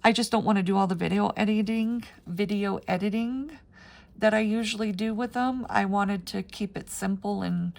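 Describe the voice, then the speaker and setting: A middle-aged woman, raspy from the flu, chatting her way through a paper crafting tutorial with plenty of ums. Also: mid-range voice, normal speed, a little mellow. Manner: chatty, rambling with frequent ums. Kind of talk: casual step-by-step craft tutorial.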